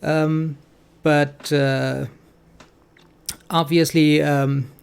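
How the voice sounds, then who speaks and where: A man giving informal, analytical financial commentary as a host, speaking in a halting way with frequent ums. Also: fairly high pitch, little pitch movement, vocal fry, clear, resonant tone. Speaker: financial commentator hosting a stock analysis show.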